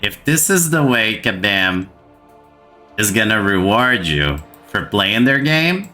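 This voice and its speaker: Slow-talking Brazilian man with bright voice. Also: fairly low pitch, very animated, faint music behind. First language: Portuguese. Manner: exasperated, repeats words for emphasis. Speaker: gaming channel host. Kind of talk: frustrated gaming rant to camera.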